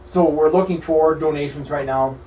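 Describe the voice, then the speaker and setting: An American man with a slightly high voice speaks earnestly and haltingly in an informal interview. The room echoes around him and he sounds some way from the microphone. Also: fairly even intonation, a little mellow. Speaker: military veteran, charity founder, interview guest. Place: indoor broadcasting room. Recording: echoey room, distant mic, faint noise.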